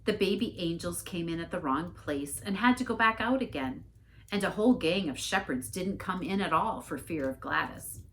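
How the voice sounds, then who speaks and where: Animated, lower-pitched woman reading a story. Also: moderate pace, slight background noise. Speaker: story reader, church staff member. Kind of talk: expressive storybook narration.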